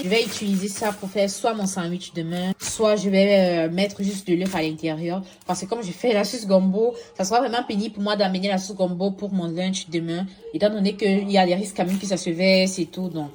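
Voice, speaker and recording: A woman with a cold chats to her camera at home after a long workday. Her voice is hoarse and tired, and she fills pauses with little fillers. A faint background hiss sits under the camera recording. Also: medium pitch. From now living in Canada. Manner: tired, chatty, many fillers. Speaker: vlogger. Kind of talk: casual vlog chat. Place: home kitchen.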